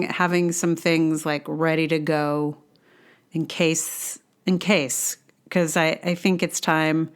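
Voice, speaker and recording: A woman's voice: on the low side, fairly bright tone. A middle-aged woman hosting a podcast alone, musing casually in a hesitant, rambling way with self-deprecating humor. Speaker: podcast host, playwright and actor. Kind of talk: casual reflective solo podcast monologue.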